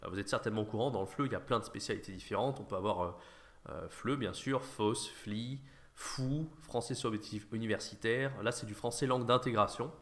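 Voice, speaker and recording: A man's voice: fairly low pitch, a little fast, fairly bright tone. A man chatting casually as an online host, slightly nasal from an allergy, with an informal, rambling delivery. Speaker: YouTube host, online French teacher trainer. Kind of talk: casual chat, reaction commentary.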